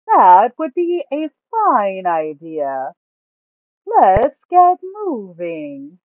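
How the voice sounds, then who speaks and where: A woman voicing an adventurer salesman plotting a ruse in a story, loud and projecting with drawn-out words. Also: mid-range voice, wildly swooping pitch, dry recording, phone-quality sound, voice pushed up, exaggerated voice. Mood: approving, brisk.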